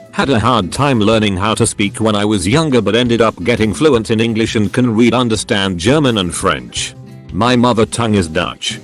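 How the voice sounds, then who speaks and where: A man narrating short online stories in a scripted, recited read-aloud style. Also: on the low side. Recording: music behind the voice. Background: background music.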